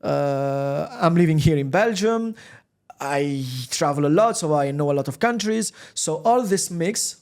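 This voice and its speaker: Earnest man, slightly higher voice. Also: expressive, unhurried, clean tone. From Tunisia. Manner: earnest, repeats words, warm passion for his roots. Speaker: podcast guest, recruiter. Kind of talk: casual interview talk.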